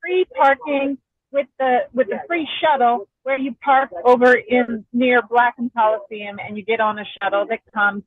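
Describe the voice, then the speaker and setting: High husky woman, market organizer. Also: fairly even intonation, normal speed, no room sound, phone-quality sound. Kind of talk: informational update. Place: outdoor market stall.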